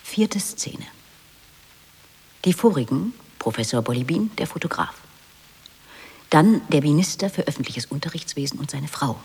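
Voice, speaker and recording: A woman's voice: sits low, animated, normal speed, long pauses, a little mellow, slight background noise. A woman reading stage directions as the narrator of a radio play in a dramatic scripted style.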